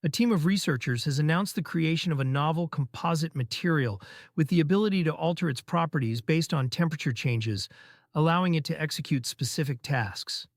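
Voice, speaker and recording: A man reads practice passages aloud as a scripted narrator. His voice sits slightly high for a man, and the sound is dry, free of room echo. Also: brisk. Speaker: test-practice narrator reading sample passages.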